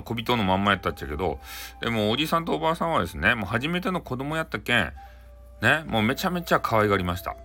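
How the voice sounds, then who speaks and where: Fast, animated Hakata-accented male storyteller. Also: mid-range voice. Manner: chatty, playful. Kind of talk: casual improvised comic retelling of a bedtime folktale.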